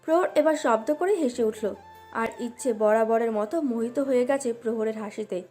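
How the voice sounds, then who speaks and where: Female narrator for romantic audio fiction, high register, scripted read with a light music bed underneath. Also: moderate pace, a little mellow. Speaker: story narrator. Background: background music.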